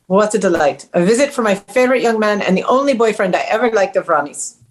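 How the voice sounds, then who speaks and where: A woman's voice: mid-range voice, expressive, fast talker, close to an everyday voice. A woman acting a domineering older mother at a script read, pointed and sweetly cutting. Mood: gracious. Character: sharp-tongued, passive-aggressive older mother, domineering. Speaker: actor in a script table read. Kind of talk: table read of a screenplay.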